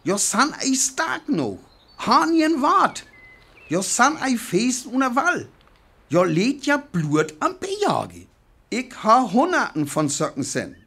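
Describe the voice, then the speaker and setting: A husky, bitter survivor played by a man with a North Frisian accent rambles on in a radio play. His delivery is slow and intense, yet the pitch swings widely as he schemes. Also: wildly swooping pitch, sharp tone, exaggerated voice. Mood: brooding, agitated. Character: bitter, agitated survivor scheming for life underground.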